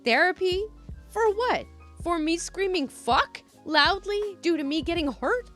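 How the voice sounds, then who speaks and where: Young cyclist in a read-aloud story, played by a woman: earnest, exasperated, lively high voice. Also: measured pace, faint music behind, full character voice. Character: young male student cyclist, apologetic but exasperated.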